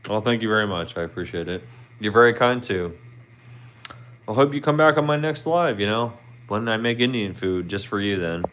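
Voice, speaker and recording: A man in his thirties with a Philadelphia accent, hosting a casual livestream. His voice sits low and comes across smooth and resonant. He rambles in a friendly way, filling gaps with "you know". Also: lively intonation, moderate pace, fairly bright tone. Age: 30s. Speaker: livestream host. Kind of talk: casual livestream chat with viewers.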